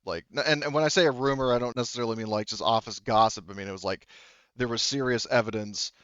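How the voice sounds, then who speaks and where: A man's voice: medium pitch, fast, a bit bright, clear, resonant tone, no echo, dull audio. A man acting as game master, explaining tabletop game details in a hesitant, rambling way. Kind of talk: improvised tabletop game narration.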